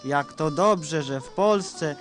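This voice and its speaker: A man's voice: fairly high pitch, slow pace, faint music behind. A man reciting a comic rhymed tale with a mock-poetic storytelling cadence.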